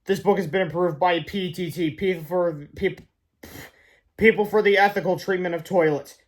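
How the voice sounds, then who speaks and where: Male children's book reader, notably high-pitched, expressive storyteller with emphatic delivery. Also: normal speed. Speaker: read-aloud reader. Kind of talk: children's book read-aloud narration.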